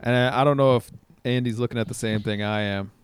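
A man chatting casually as a podcast co-host, in a jokey, animated way with loose, sweary language. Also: medium pitch, fast talker, smooth, no echo. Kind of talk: casual chat.

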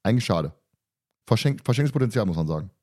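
A man's voice: fairly low pitch, expressive, fast, darkish tone. A man hosting a casual podcast discussion, recapping and commenting in a colloquial, teasing, joking way. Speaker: podcast host.

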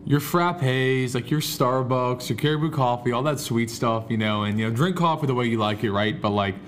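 A man's voice: mid-range voice, normal speed. Young american guy chatting on a podcast, slangy and playful, bit of noise behind him. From Washington, D.C. area, United States. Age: young adult. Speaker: podcast co-host and barista. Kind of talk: casual podcast chat. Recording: faint background noise.

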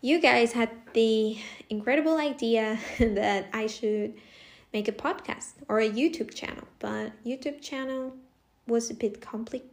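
A woman's voice: on the high side, measured pace. A woman in her twenties from Costa Rica, a native Spanish speaker, hosting a casual solo podcast in a chatty way, often laughing and restarting her sentences. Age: 20s. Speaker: podcast host.

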